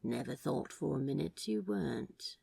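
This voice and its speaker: A woman's voice: very deep voice, animated, normal speed, gravelly, dark tone, husky, no room sound, full character voice. A woman voicing a cool, flirty man who talks in a casual, teasing, clipped way in a read-aloud romance story. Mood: husky flirting.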